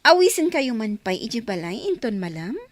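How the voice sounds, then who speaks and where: Woman playing a coy Filipino flirt, high-pitched and swooping. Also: sing-song, normal speed, no room sound. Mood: coy, teasing. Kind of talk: Filipino radio drama acting.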